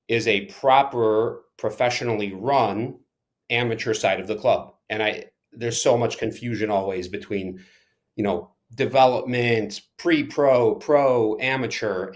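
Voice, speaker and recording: A man born in Ukraine, a soccer club manager and coach, answering interview questions emphatically, racing through some phrases and slowing on others. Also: lowish voice.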